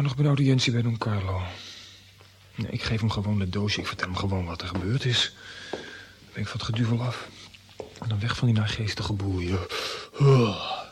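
A man's voice: on the low side, steady tone, moderate pace, long pauses, a little hiss or hum, lightly acted. Man playing a nervous, hesitant young courier. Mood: uneasy. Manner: hesitant, deferential. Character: nervous, earnest young male courier at a princely court. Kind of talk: radio drama.

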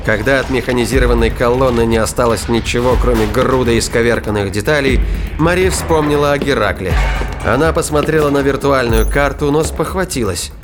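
A man with a mid-pitched voice reads scripted narration for a sci-fi thriller audiobook. Music plays clearly behind him. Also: brisk. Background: music, battle sound effects.

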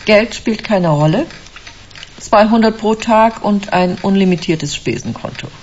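An actress playing a shrewd, businesslike corporate executive with a faintly amused manner in a radio play. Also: female voice, mid-range voice, measured pace, darkish tone, highs cut off, a little hiss or hum, lightly acted. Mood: cool, businesslike. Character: cool, shrewd female corporate executive hiring a detective.

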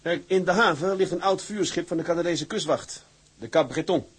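An actor playing a resolute, burdened sea captain in a radio drama. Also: male voice, fairly high pitch, brisk, slightly rough. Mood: scheming, confident. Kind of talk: radio drama acting with letter-reading monologues.

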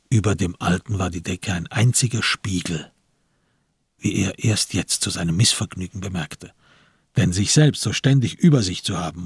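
A man with a gravelly, husky voice giving literary narration in a radio crime drama. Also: deep voice, no room sound, clean studio sound.

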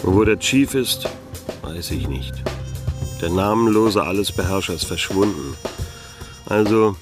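An actor playing a disillusioned middle-aged private detective in a radio play, laconic and dryly sardonic, narrating in noir style. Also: male voice, sits low, lively intonation, measured pace, music in the background. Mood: wry, brooding.

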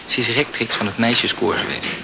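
A man's voice: lowish voice, a little fast, creaky, background noise. A man playing a chatty, opinionated male student in a radio play, blunt and gossipy, swearing mildly. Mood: gossipy, indignant. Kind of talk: conversational radio drama acting.

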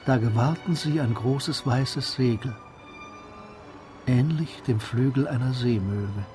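A man narrating a literary German radio drama in a measured, composed storytelling manner. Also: mid-range voice, soft background music.

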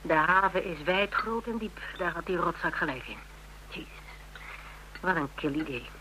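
A man's voice: a little high, animated, moderate pace, bright, forceful tone. A man acting a shaken but wry survivor of a killer, colloquial and downplaying danger, in a radio crime drama. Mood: resigned. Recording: noisy radio drama recording.

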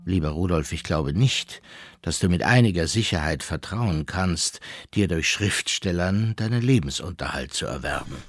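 A man's voice: sits low, expressive, moderate pace. An actor playing a stern, sober man who reads out formal, admonishing letters in a radio play.

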